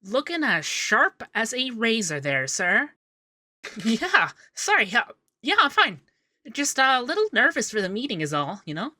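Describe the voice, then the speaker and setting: A man playing a young, fussy, devoted femboy secretary in a scripted ASMR roleplay, chatty and expressive. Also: very high-pitched, a little slow, no room sound, heavily acted. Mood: flustered, smitten. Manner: chatty, expressive, bashful asides. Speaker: voice actor in roleplay audio.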